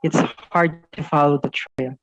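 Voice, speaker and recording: A woman playing a young ant learning the trail, speaking in measured, deliberate phrases in a dramatic reading. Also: low-pitched, steady tone, muffled recording. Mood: earnest. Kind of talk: dramatic reading of a stage play.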